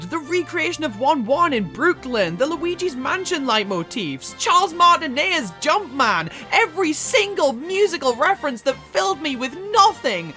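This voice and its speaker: A woman's voice: on the high side, a bit bright. A woman giving scripted video review commentary, with emphatic, dramatic stress on key words. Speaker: video essayist reviewing films.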